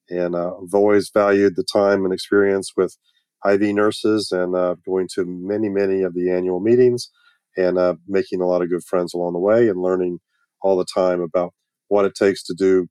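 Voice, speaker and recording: A man speaking as an interview guest, conversational, in halting, measured phrases. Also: low-pitched, darkish tone, clear, resonant tone, dry recording. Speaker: guest, medical products industry veteran. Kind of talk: conversational self-introduction.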